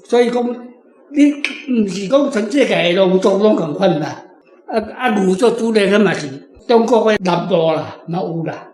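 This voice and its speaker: A Taiwanese man with a notably high voice talks as a guest author. He explains things casually and drifts through anecdotes, in a room with audible echo. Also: animated, moderate pace, a little mellow. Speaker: author and guest answering questions.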